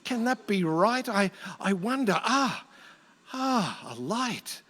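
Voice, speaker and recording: A man's voice: sits high, sing-song, takes it slowly, pushed and bright, exaggerated voice. A man acting a fussy, bumbling villain from hell in a rhyming radio play, stammering and theatrical. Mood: frantic wonder. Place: stage in a hall. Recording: hall with long reverb.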